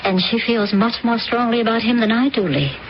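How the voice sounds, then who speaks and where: A woman's voice: on the high side, animated, a little fast, close to an everyday voice. An actress playing a troubled intuitive stepmother. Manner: hesitant, searching. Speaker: radio drama actor. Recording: dull old radio recording, faint hiss.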